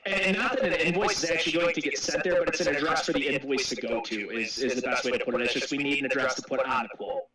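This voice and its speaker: A man's voice: a little high, steady tone, quick pace, hardly any pauses. Chatty American salesman on a roomy, noisy call. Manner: chatty, accommodating. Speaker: sales representative. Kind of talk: sales call, friendly small talk and account setup. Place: video conference call from home. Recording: roomy, distant video call with loud chatter.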